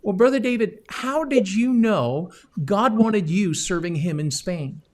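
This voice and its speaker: A man's voice: sits high, lively intonation, unhurried, darkish tone. A man hosting an online missions interview, upbeat and speaking directly to the viewers. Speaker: host.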